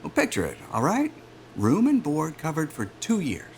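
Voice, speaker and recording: A man playing a self-assured, smooth-talking aerospace executive in a naturalistic audio drama, with a polished, salesman-like manner. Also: on the high side, huge pitch swings, moderate pace, slight background noise, full character voice. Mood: upbeat persuading.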